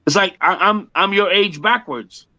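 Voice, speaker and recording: A man's voice: high-pitched, normal speed, sharp tone, no echo. A man in his fifties, a music performer chatting casually in an interview, joking and repeating himself emphatically on muffled lo-fi audio. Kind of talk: casual one-on-one chat.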